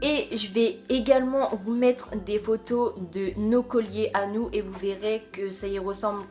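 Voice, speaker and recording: Young French woman, casual vlogger, halting chatty delivery, faint music underneath. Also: mid-range voice, fairly even intonation, darkish tone. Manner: chatty, many fillers and hesitations. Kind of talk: casual video chat.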